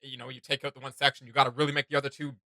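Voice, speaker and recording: A man's voice: mid-range voice, little pitch movement, racing, pushed and bright, no echo, clean studio sound. A man in his thirties co-hosting a reaction show, chatting casually in a rambling, enthusiastic way.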